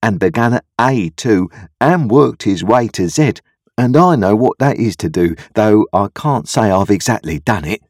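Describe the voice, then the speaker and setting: A man's voice: on the low side, lively intonation, normal speed, a little mellow, no echo, studio quality, voice pushed up. A man voicing a kindly, uneducated country blacksmith who speaks in an earnest, ruminative, ungrammatical rustic way. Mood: reassuring, reflective.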